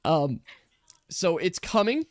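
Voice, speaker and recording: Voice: mid-range voice, sing-song, slow, no echo. A game master with a mid-range voice and a dry, close recording. The delivery is casual and conversational, narrating a tabletop role-playing scene to the players.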